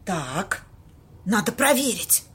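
A woman acting a bossy, streetwise young woman in an amateur audio play, husky, slangy and blunt. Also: mid-range voice, sing-song, full character voice. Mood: hushed, nervous. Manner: slangy, blunt, drawn-out exclamations.

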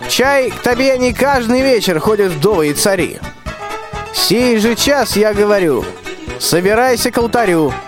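A man's voice: high voice, expressive, normal speed, music in the background. A male amateur actor playing a bossy, lovestruck tsar in a rhymed folk-tale radio play, declaiming pompously. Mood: pompous wooing. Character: blustering lovestruck old tsar, bossy and wheedling.

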